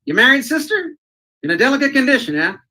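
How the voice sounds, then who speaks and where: Man acting blustering comedy husband, bright forceful voice, very animated, folksy, old-time radio play. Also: very high voice, exaggerated voice, rough recording. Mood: chatty. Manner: folksy, fast-talking, exclamatory, theatrical. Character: Fibber McGee, blustering, wisecracking, eager husband.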